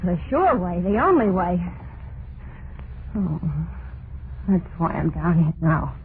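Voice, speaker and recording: An actress playing a terrified, unhinged wife in an old-time radio horror monologue, halting and overwrought, full of guilt and dread. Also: female voice, lowish voice, sing-song, exaggerated voice. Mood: fearful despair. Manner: halting, overwrought, drawn-out dramatic delivery. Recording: noisy narrow-band vintage radio recording.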